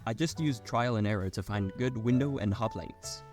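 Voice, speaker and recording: A man's voice: fairly low pitch, normal speed, a little mellow, faint music behind. A young adult man reading a scripted technical explainer as a narrator.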